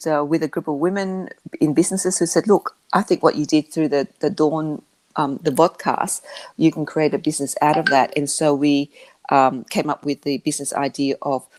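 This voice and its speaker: A woman who learned English as a second language, answering interview questions about her business in a halting way, with many restarts. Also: deep voice. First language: not English. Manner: halting, with frequent restarts and fillers. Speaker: interview guest, media business founder.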